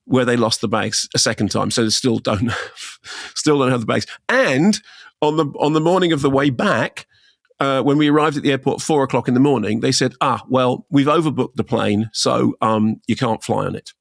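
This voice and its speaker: Rambling sixties man, gravelly and dry. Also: medium pitch, normal speed, no echo. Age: 60s. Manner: rambling, self-correcting storyteller. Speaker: co-host. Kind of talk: casual podcast chat.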